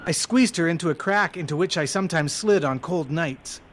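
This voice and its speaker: Reflective homeless narrator, played by a man, higher voice, noisy hiss behind. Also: moderate pace, close to an everyday voice. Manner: reflective, earnest storytelling. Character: weary homeless man narrating his story. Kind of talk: first-person drama narration.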